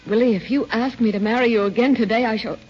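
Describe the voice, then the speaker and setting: An actress playing a dejected young woman in a 1930s radio drama, quiet and subdued. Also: fairly high pitch, steady tone, fast talker, breathy edge. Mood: subdued, distracted. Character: dejected young woman rebuffing her suitor. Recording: old muffled radio broadcast.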